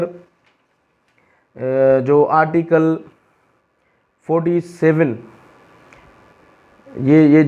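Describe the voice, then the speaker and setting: A man with a Pakistani accent lecturing on law, reading out provisions and explaining them deliberately, repeating key phrases as he goes. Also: mid-range voice, narrow, tinny audio.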